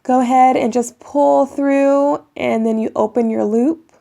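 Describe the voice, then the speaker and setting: A young woman with a raspy voice from a cold, chatting casually to viewers while demonstrating a hair tutorial at home. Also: sits high, fairly even intonation, a little slow, dark tone. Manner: chatty, addresses viewers as you guys, many ums. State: raspy voice getting over a cold. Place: bedroom at home, indoors.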